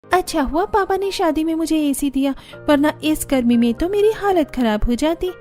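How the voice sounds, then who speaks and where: A woman's voice: sits high, lively intonation, normal speed, dark tone, faint music behind. A woman voicing a dutiful young new bride in a cartoon, speaking politely and earnestly.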